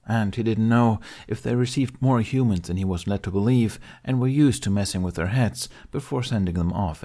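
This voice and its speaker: A man's voice: lowish voice, warm tone. A man reading scripted fiction as an audiobook narrator.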